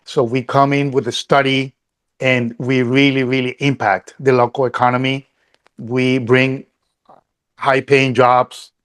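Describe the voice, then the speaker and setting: A man's voice: mid-range voice, level tone, a little slow, fairly bright tone, smooth. A middle-aged man from Colombia, a company CEO answering interview questions earnestly, often restarting and repeating words.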